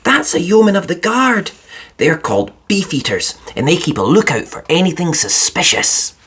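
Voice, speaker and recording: A woman voicing a watchful, excitable young girl in a children's storybook, brisk and knowing. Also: on the low side, slightly rough, pitched above the performer's own voice, exaggerated voice. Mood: warning. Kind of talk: children's storybook read-aloud.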